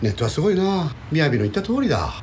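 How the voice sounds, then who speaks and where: A man playing a wildly animated, playful actor. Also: very fast, a little hiss or hum. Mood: teasing, breezy. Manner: theatrical, swinging between low murmurs and high whines. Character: playful middle-aged male villain actor weary of hatred. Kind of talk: radio drama dialogue.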